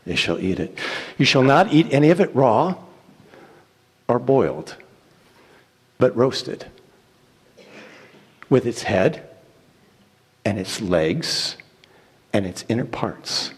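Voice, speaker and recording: A man giving a solemn, declamatory dramatic reading of scripture in deliberate phrases. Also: medium pitch, very animated, husky. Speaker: church reader reciting scripture. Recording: echoey hall with long reverb.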